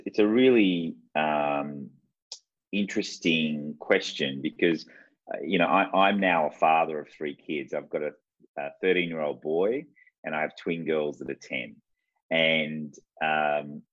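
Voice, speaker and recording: An Australian man in his forties, a guest telling his life story in an unhurried, reflective way. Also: very deep voice, slightly rough, dry recording. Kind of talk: casual interview talk. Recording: dull lo-fi sound.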